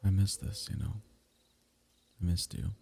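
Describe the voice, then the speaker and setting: A young man speaking softly and intimately in an ASMR roleplay, teasing and sometimes dropping into a whisper. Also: low voice, little pitch movement, measured pace, vocal fry, slight background noise.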